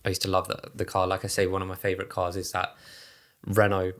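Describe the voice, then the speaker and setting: A man's voice: sits low, monotone, quick pace. A man co-hosting a podcast, chatting casually with lots of fillers and restarts. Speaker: podcast co-host. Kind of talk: casual chat about racing.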